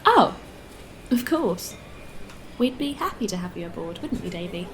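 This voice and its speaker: A woman playing an earnest, outspoken spaceship captain in a science-fiction audio drama, with an expressive delivery. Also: lowish voice, moderate pace, slight background noise. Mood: warm, teasing.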